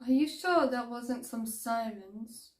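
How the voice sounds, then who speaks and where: A woman's voice: a little high, takes it slowly. A woman playing a young fairy pupil in a fantasy roleplay, cheeky, skeptical and argumentative, in a roomy, echoing recording. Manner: pert, questioning.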